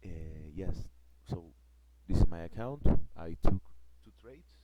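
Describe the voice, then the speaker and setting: A man from Peru with a Peruvian Spanish accent, a native Spanish speaker explaining his trading plan in a casual vlog. He talks haltingly, searching for words, with many fillers and restarts. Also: sits low, lo-fi sound. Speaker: forex trader vlogger. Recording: loud background noise.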